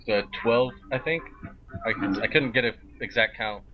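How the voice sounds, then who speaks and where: A man playing a live role-play game over online voice chat, haltingly describing his actions and often restarting. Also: medium pitch. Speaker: tabletop role-play player. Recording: dull lo-fi online voice chat, noisy.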